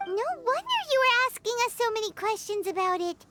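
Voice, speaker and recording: A woman's voice: extremely high pitch, animated, fairly quick. A woman voicing a cute, sassy little cat girl in an anime-style video game. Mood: wonder. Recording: prominent background music.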